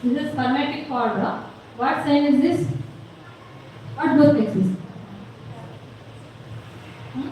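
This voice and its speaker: A woman's voice: high-pitched, slow, long pauses, warm tone. A woman with a South Indian accent giving a medical lecture as a teacher, asking questions and explaining the answers. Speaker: radiology lecturer. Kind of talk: medical lecture quizzing students. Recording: very echoey room, distant mic, faint noise.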